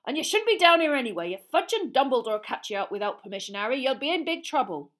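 A woman voicing a huge, gruff, kind-hearted male gamekeeper in a storybook reading, urging the children away. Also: high voice, sing-song, quick pace, fairly bright tone, voice pushed up. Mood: urgent. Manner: urgent, shooing.

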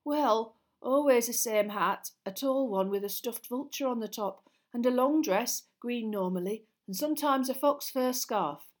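A woman's voice: fairly high pitch, a little slow, voice pushed up, lightly acted. A woman voicing a timid, nervous schoolboy in a storybook, speaking hesitantly and uncertainly. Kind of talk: acted audiobook dialogue.

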